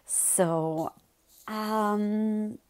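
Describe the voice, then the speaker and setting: A woman's voice: medium pitch, steady tone, drawn-out words, a little mellow. A woman in her thirties with a Wisconsin accent, a yoga teacher chatting casually about her path, with many ums and likes. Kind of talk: casual personal podcast monologue.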